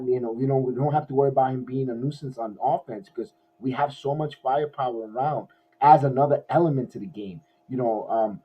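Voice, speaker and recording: A man hosting a casual post-game sports podcast, his voice hoarse, rambling with many restarts like an excited fan. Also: mid-range voice. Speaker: podcast host. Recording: dull lo-fi audio.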